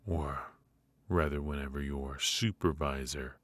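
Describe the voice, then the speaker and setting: A man playing a menacing, teasing mafia boss in an intimate ASMR roleplay, speaking with deliberate pauses and mock politeness. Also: a bass voice, steady tone, a bit bright, dry recording. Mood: mocking, intrigued. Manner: slow, deliberate, mockingly polite with drawn-out pauses.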